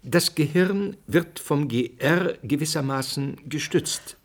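A didactic researcher in a radio drama interview. His voice is slightly high and rough-edged, earnest and brusque as he explains. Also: slow. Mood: explaining. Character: earnest male scientist, explaining theory, brusquely dismissive of speculation.

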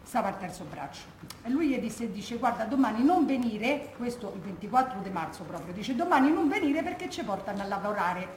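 Insistent Roman daughter, echoey room. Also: female voice, fairly high pitch, sing-song, a little fast, a little mellow. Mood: earnest, insistent. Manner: colloquial, insistent, conversational. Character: earnest working-class Roman daughter recalling her lost father. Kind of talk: theatrical testimony monologue. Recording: roomy echo, faint background noise.

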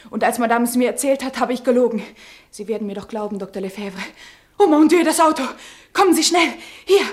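An actress playing a young, loyal, timid maid in a radio play, nervous and quickly panicked. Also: female voice, sits high, close to an everyday voice.

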